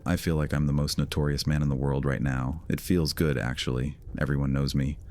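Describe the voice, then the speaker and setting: A man's voice: very deep voice, little pitch movement, a little fast, dark tone. An actor playing a menacing, mocking male vampire lord who speaks with a sneering, threatening manner. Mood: taunting. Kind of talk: audio drama roleplay.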